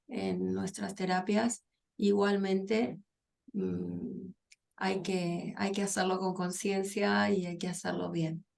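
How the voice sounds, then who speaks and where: Woman with a gravelly, creaky voice. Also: medium pitch. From Argentina. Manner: thoughtful, pausing with many fillers and restarts. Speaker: guest psychologist and author. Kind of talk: conversational interview.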